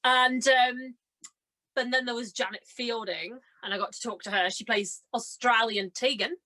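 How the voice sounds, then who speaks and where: A woman's voice: on the high side, steady tone, moderate pace, bright, forceful tone. A young woman talking casually as an interview guest, telling stories in a chatty way and acting out her reactions. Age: young adult. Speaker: interview guest, amateur actor and cosplayer. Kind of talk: casual conversational chat.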